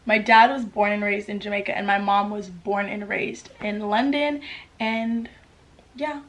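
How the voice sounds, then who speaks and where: A young woman of nineteen from the US talks straight to camera, answering fan questions. Her voice sits at an ordinary middle pitch, and her chat is casual and bubbly, with plenty of "like". She sounds close to a camera mic in a room at home. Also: steady tone, measured pace, fairly bright tone, slight background noise. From United States. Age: late teens. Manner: chatty, upbeat, lots of "like" fillers. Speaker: YouTuber. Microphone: camera mic with ring light.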